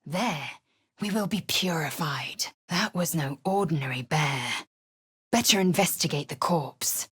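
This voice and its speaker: Game role: disciplined alien warrior woman, imperious and scornful, lowish rasping voice, formal clipped delivery. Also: unhurried, a bit bright, heavily acted. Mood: stern, resolute. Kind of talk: video game character voice lines.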